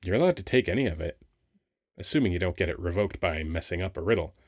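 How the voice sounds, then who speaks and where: A man playing a flirty, wry, weary sphinx who guards a temple, talking casually and haltingly in an ASMR roleplay. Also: low voice, animated, a little mellow, no room sound, studio quality. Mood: reluctant, flirty.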